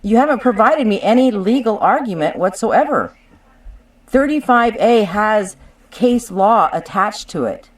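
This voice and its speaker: A woman's voice: medium pitch, lively intonation, measured pace. A woman on a conference call, picked up at some distance from the mic. She is combative and insistent, arguing her own legal case point by point in a contentious discussion.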